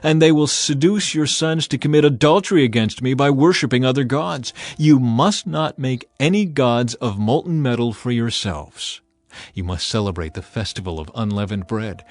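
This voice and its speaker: A man's voice: mid-range voice, lightly acted. A man voicing a solemn, commanding God in dramatized scripture, grave and declamatory. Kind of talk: dramatized audiobook acting.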